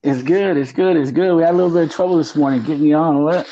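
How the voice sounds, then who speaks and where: Halting, rambling male podcast host. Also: fairly high pitch, warm tone. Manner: rambling, halting, many fillers and restarts. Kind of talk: casual podcast chat. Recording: muffled lo-fi audio.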